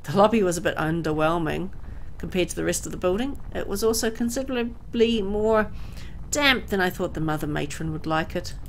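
A woman's voice: lowish voice, animated, fairly quick, hardly any pauses, slight background noise, poor audio. A woman reading a horror visual novel aloud as dramatic story narration.

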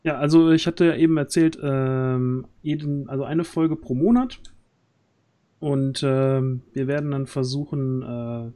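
Man, thirties, mellow relaxed tone, mid-pitched, casual stream host, close mic. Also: unhurried. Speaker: host of a role-play audio drama project stream. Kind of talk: casual livestream chat. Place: small room at home, on a group video call. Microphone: close boom-arm studio mic, headset.

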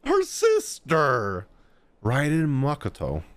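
Animated male streamer, very lively pitch. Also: slow pace. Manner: animated, slangy, expressive. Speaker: live streamer. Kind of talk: casual game reaction commentary. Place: indoor streaming room at home. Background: game music.

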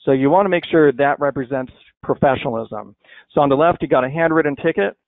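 A man presenting a software walkthrough in a webinar, talking casually with fillers and restarts. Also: on the high side, fast talker, gravelly, dry recording. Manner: conversational, many fillers and restarts. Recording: dry tinny phone-like audio.

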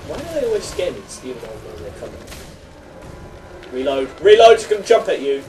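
A young man chatting casually as a gaming co-host, excitable, given to jokey complaining and yelling. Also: mid-range voice, a little fast. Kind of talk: casual gameplay banter. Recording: clear noise, game sound behind. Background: video game sounds.

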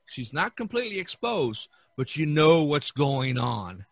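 A man hosting a casual art discussion, halting and repeating words as he searches for them. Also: fairly high pitch, big pitch swings, gravelly, breathy edge, dry recording. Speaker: podcast host.

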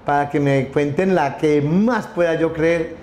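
A man's voice: fairly high pitch, unhurried, darkish tone. Rambling middle-aged man in an echoey room. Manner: rambling, many restarts and repeated words. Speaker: company founder, interview guest. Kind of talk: casual storytelling interview. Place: room with wooden wolf statue, indoors. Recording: echoey room, faint background noise.

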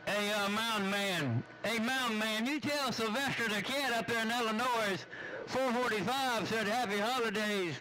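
A man's voice: high voice, moderate pace, background noise. A man chatting casually over CB radio in a folksy, teasing, slangy way. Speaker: CB radio operator.

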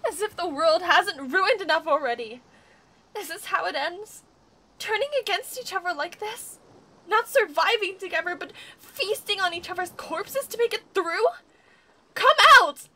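Piercingly high, enraged grieving daughter. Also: female voice, lively intonation, unhurried, bright, forceful tone, full character voice. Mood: grieving fury. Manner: raging, anguished. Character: grief-stricken, vengeful daughter screaming for revenge. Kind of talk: audio drama acting.

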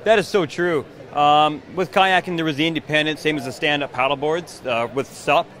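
A man in his forties, a canoe maker, giving an upbeat pitch in an interview at an indoor trade show booth, speaking into a handheld mic. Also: fairly even intonation, moderate pace, fairly bright tone. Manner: enthusiastic sales pitch. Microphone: handheld mic held by interviewer.

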